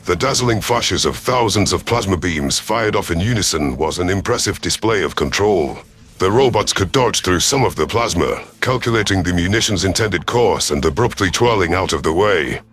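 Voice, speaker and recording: A man with a deep voice narrates scripted science fiction in the first person. His storytelling is formal and deliberate, in the manner of an audio story narrator. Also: lively intonation, fairly bright tone.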